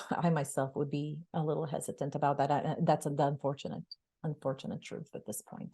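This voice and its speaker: A woman's voice: deep voice, little pitch movement, brisk, vocal fry, mellow, husky. A woman from Israel, a guest expert on a webinar, speaking earnestly over a video call. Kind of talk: webinar talk.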